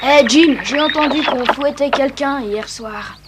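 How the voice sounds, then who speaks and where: A woman's voice: sits high, unhurried. A woman voicing a nosy, teasing gossip in a French cartoon dub, inquisitive and exclamatory, on a noisy track. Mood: teasing, curious. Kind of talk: French dubbed cartoon acting.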